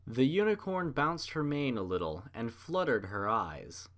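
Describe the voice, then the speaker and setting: A man's voice: mid-range voice, very animated, unhurried, fairly bright tone. A man reading a story aloud as narrator, in a scripted storybook style.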